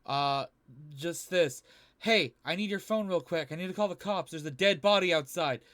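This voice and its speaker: A man's voice: sits high, moderate pace, a bit bright. A young man giving casual reaction commentary as a video host, sardonic and freely swearing. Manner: sardonic, profane.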